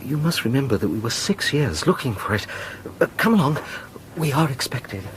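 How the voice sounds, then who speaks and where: A male actor plays a fretful English gentleman for a radio drama. He is fussy and formal, prone to stammering and close to panic. There is some ambient noise behind the voice. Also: medium pitch, big pitch swings, moderate pace, lightly acted. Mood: grave. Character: anxious, fretful gentleman, easily driven to panic. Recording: noisy background ambience.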